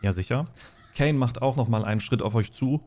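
A man's voice: medium pitch, fairly quick, a little mellow. A man running a tabletop game as game master, describing scenes and instructing players in improvised narration.

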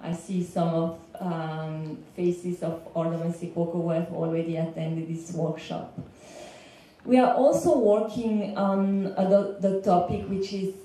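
A young woman with a Belgian accent giving an earnest, halting semi-prepared speech on a panel as a legal consultant. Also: on the low side, warm tone. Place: indoor lecture room panel. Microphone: handheld microphone, recorded by far camera. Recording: echoey room, distant, faint background noise.

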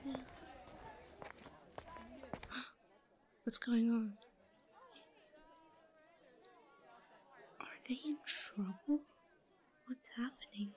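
A woman playing a shy, obsessive, secretly scheming schoolgirl in a roleplay audio drama, muttering to herself in a breathy voice that often drops to a whisper. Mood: anxious, curious. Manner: hushed muttering to herself, halting. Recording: low-quality recording with faint crowd murmur.

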